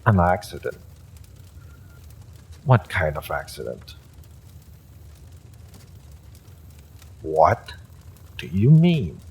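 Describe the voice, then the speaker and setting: An actor playing an evil male sorcerer, smugly polite and sadistic, in a slow, theatrical audio drama full of menace. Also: low voice, huge pitch swings, a little mellow, husky, exaggerated voice. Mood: curious, probing. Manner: slow, deliberate, theatrical threatening delivery. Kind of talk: dramatic audio roleplay monologue.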